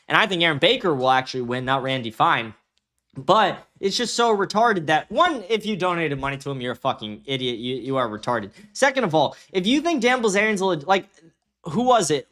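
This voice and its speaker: A man's voice: fairly high pitch, a bit bright. A man hosts a political livestream. He talks off the cuff, swearing freely in a blunt, scornful way. He often says a phrase again to drive it home. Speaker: host of a political commentary stream. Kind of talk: unscripted livestream commentary, profane ranting.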